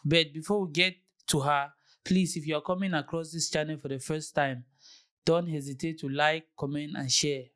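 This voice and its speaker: A chatty interview host with an ordinary middle pitch. The speech is loose and repetitive, circling back over phrases. The host eagerly courts viewers' support for a new channel. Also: fairly even intonation, normal speed, a bit bright. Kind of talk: casual interview hosting.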